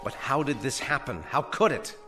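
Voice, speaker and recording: An actor playing a restless army major in a radio drama, brusque and insistent. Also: male voice, mid-range voice, expressive, moderate pace, a bit bright, soft background music, close to an everyday voice. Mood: bewildered.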